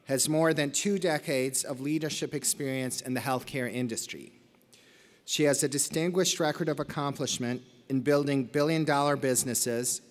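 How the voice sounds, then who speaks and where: A man formally introducing a guest speaker at a graduation ceremony, reading a prepared bio in a measured way. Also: mid-range voice. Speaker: ceremony presenter. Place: large arena.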